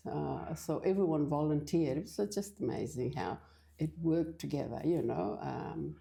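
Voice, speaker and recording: An older woman from Fiji, talking in a halting, hesitant way as a guest in a casual church interview. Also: deep voice, animated, creaky, mellow. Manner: hesitant, halting with many restarts.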